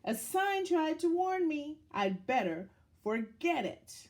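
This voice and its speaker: A woman reading a children's picture book aloud in a dramatic, deliberate storytelling manner, as if to young listeners. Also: sits high, big pitch swings.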